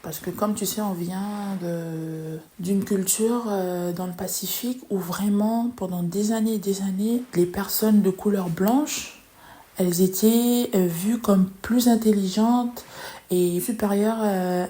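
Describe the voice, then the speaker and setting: A woman in her twenties from New Caledonia shares personal testimony as an interviewee. Her voice is mellow and mid-pitched. She talks conversationally, with hesitant pauses inside her sentences. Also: steady tone. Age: 20s. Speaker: podcast interviewee.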